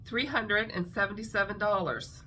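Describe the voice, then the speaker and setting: A woman's voice: mid-range voice, moderate pace, bright, forceful tone, a little hiss or hum. Congested nasal woman chatting. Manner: chatty, rambling with many fillers. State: congested, nasal from a sinus infection. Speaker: craft vlogger. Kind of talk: casual chat to camera.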